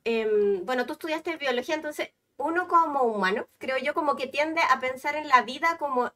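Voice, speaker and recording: A young woman with a Venezuelan accent hosts a live stream, cheerful and laughing as she puts questions to her guest. Her voice is high and very bright, and it sounds a little distant from the microphone on the video call. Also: normal speed. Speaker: host. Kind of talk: casual live stream chat.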